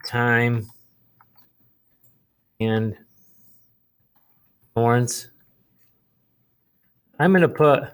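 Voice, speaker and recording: A man teaching a lesson, explaining a writing task step by step, with pauses and repeated phrases. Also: mid-range voice, clear, resonant tone. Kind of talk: instructional lesson, modelling a writing task aloud.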